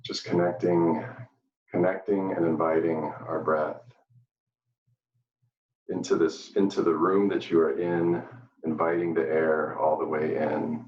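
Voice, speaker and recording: Very deep, slow-spoken man guiding meditation. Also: level tone. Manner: gentle, soothing, with long pauses. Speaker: guided meditation leader. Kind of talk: live guided meditation. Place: indoor room, live virtual event. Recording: dull, roomy, distant microphone.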